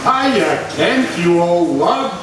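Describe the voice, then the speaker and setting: Heard across a very reverberant room, a slangy, breezy private eye acted by a man talks in a high voice that swoops around. It has the folksy jauntiness of old radio drama. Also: very animated, very slow, exaggerated voice. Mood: jaunty. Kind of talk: live recreation of an old-time radio serial. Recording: very echoey hall, far microphone, loud noise.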